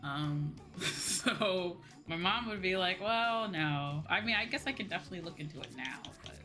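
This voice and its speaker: A woman's voice: on the low side, normal speed. A young woman chatting casually and playfully with viewers on a livestream as a game streamer. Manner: animated, playful, conversational. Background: music.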